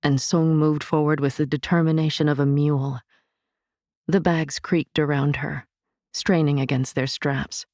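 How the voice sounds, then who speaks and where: A woman narrating a literary novel in a measured, vivid storytelling manner on a studio mic. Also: sits low, level tone, a little mellow, no echo. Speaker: audiobook narrator.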